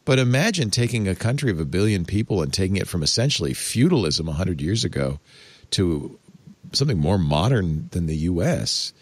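Older man, podcast host, animated up-and-down voice, dry close sound, chatty. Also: sits low, husky, no echo. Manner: animated, chatty, quick to laugh. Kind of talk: casual chat.

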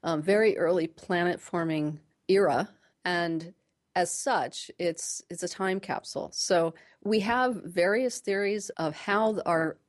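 Measured woman explaining science, lowish voice. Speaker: planetary scientist, interview guest. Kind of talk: radio interview, explaining science plainly.

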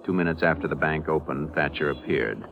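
Tough texas ranger, really low voice, curt and folksy, muffled old radio western. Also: male voice, fairly even intonation, brisk, creaky. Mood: narrating. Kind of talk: 1950s radio western acting.

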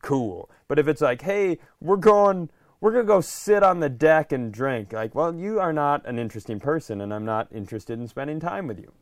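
A man's voice: a little high, lively intonation, normal speed, few pauses, no echo, clean studio sound. A man co-hosting a podcast, rambling through stories and opinions in a wry, casual way. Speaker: podcast co-host. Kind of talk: casual comic chat.

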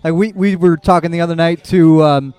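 A man hosting a podcast, asking questions haltingly as he searches for words. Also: fairly high pitch, fairly even intonation, darkish tone, clear, resonant tone, no echo. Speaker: podcast host. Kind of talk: interview questions.

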